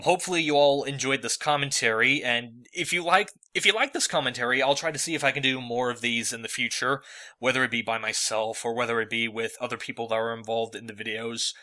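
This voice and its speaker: A man's voice: medium pitch, fairly quick, sharp tone. A man giving a casual, talkative audio commentary as host, analysing at length in a roundabout way. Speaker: host, fan dub producer and sound mixer. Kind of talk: casual audio commentary over a fan dub. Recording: music behind the voice. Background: dubbed video soundtrack with music.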